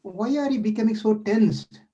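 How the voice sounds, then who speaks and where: A man's voice: sits high, moderate pace, dark tone, muffled recording, pitched above the performer's own voice, lightly acted. Man playing an eager, questioning divine lord. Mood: curious, eager. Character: playful caring divine lord, male, curious.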